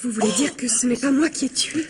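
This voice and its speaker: A woman's voice: high voice, quick pace, background noise, lightly acted. An actress playing an earnest, composed young lady suspect in a vintage radio mystery.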